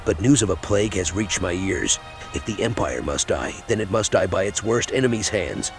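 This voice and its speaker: A man playing a cold, haughty, distrustful elven supremacist mage in a fantasy game roleplay, speaking deliberately. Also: sits low, lively intonation. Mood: cold, vengeful. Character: cold, vengeful elven supremacist mage who hates the Empire. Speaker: roleplaying player character. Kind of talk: scripted fantasy roleplay narration and dialogue. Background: background music.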